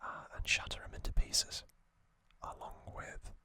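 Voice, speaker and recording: Guy doing asmr, slow whisper, bright airy sound, lots of ums and uhs. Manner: hesitant, self-correcting delivery. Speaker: ASMR creator. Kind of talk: whispered ASMR trivia talk.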